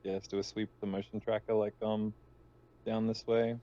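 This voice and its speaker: A man's voice: lowish voice, flat delivery, fairly quick, warm tone. A man playing a tabletop roleplaying game, chatting casually in a hesitant, stammering way over a dull voice connection. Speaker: tabletop RPG player.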